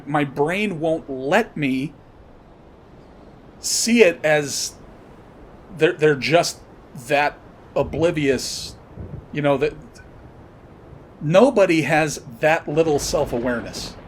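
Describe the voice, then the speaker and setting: Blunt man podcasting over rumbly background noise. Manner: halting, searching delivery with pauses and repetitions; blunt, profane. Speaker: podcast host. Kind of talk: unscripted political commentary monologue. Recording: noisy, with low rumble behind.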